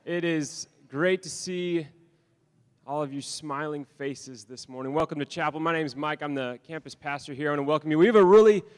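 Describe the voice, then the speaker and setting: A man's voice: a little high, fairly quick. A man, the campus pastor, welcoming a chapel crowd and giving announcements in a friendly, loose way with fillers. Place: large auditorium stage. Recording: long hall reverb.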